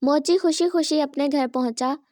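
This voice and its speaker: Dry-sounding female story narrator, higher voice. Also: normal speed, darkish tone, no room sound. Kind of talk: scripted children's story narration.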